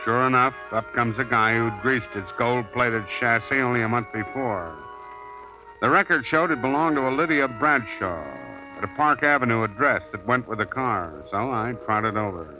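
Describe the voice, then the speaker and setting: An actor playing a sardonic, hardboiled detective who also narrates, in a 1950s radio drama. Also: male voice, mid-range voice, moderate pace, over music, close to an everyday voice. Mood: narrating. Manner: dry, sardonic wisecracks. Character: wisecracking male detective, dry and hardboiled. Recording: muffled old radio transcription.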